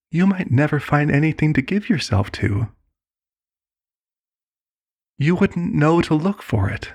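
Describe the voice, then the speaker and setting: Man playing slow, soothing cult leader. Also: mid-range voice. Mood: patronizing, persuasive. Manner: slow, soothing, condescending, persuasive. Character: serenely manipulative male cult leader, a chosen lamb. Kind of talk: ASMR roleplay monologue.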